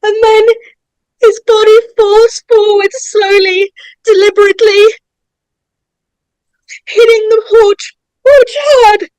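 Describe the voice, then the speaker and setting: A woman narrator reading horror screenplay stage directions in a dramatic, suspenseful way, with deliberate pauses. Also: very high-pitched, fairly even intonation, darkish tone, no room sound, poor audio. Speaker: narrator of a script table read.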